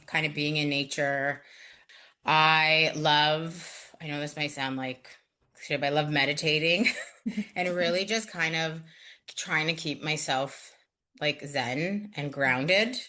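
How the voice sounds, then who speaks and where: Chatty woman with a bright voice. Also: deep voice. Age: 30s. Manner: chatty, full of "like" and quick asides. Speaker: interview guest. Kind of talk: casual interview conversation.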